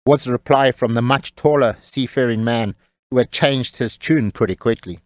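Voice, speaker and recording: A man's voice: medium pitch, moderate pace, dry recording. A man narrating a scripted comic tale as a wry, tongue-in-cheek storyteller.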